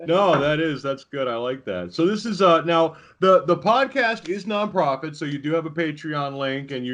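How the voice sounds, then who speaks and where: A man's voice: medium pitch, a bit bright. A man hosting a podcast in a casual, informal and blunt joking way. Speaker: podcast host. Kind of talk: casual chat.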